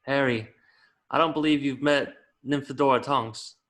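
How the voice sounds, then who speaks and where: A man playing a composed, protective wizard guard in an amateur script read, measured and instructing. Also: mid-range voice, steady tone, fairly bright tone, lightly acted. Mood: calm, instructing.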